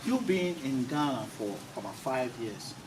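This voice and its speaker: A man working as an interviewer in a conversational style, halting and rephrasing his questions as he goes. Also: medium pitch, very animated. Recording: distant mic with clear background noise.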